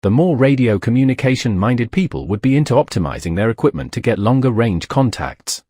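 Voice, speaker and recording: A man's voice: mid-range voice, very animated, a little fast, mellow, dry recording, clean studio sound. A man narrating a documentary from a script.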